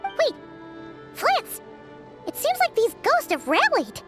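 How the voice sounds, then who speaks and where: A woman voicing a small, chatty female companion sprite in a video game, with a squeaky, exclaiming voice. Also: huge pitch swings, slow, exaggerated voice. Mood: alarmed. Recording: game audio with loud music.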